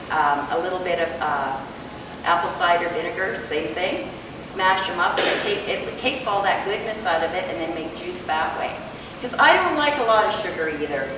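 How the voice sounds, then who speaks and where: An older woman from Canada giving a loud, chatty workshop talk to an audience, with many fillers. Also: fairly low pitch. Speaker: workshop presenter. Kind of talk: casual hands-on talk to an audience. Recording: echoey hall, voice far from mic, background noise.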